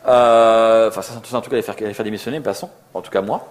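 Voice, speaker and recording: Fast-talking man, informal tech speaker. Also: lowish voice, fairly even intonation. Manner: joking, colloquial, self-deprecating. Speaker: conference speaker, software architect. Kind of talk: informal co-presented tech talk. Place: live talk before an audience.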